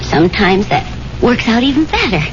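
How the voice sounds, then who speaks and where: An American actress in a 1970s radio drama playing a caring, gently bossy wife with a teasing, affectionate manner. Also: female voice, fairly high pitch, very animated, moderate pace, background noise. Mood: tender. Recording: dull vintage radio recording, music behind.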